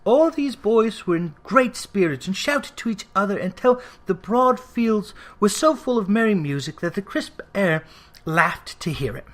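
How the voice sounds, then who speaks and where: Audiobook narrator, middle pitch, reading an old story out loud with lots of expression. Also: expressive, measured pace.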